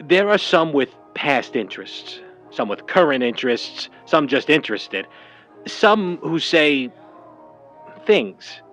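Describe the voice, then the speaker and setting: A slick, devoted vintage talent agent played by a man talks in old-time slang. His voice is on the high side for a man and moves around a lot in pitch. It is radio drama acting with light music behind. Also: big pitch swings, takes it slowly, fairly bright tone, full character voice. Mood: hesitant, careful.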